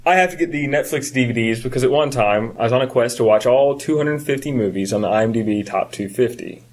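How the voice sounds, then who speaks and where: A man's voice: medium pitch, fast talker, a little mellow. A man chatting casually about films as a podcast co-host. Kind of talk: casual film chat.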